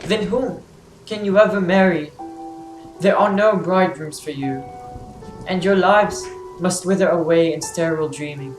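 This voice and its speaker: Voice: medium pitch, normal speed, close to an everyday voice. An actor playing a blinded, grieving fallen king who pleads solemnly in a classical tragedy reading. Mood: grieving, pleading. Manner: mournful, pleading, solemn declamation. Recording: roomy echo, faint music behind.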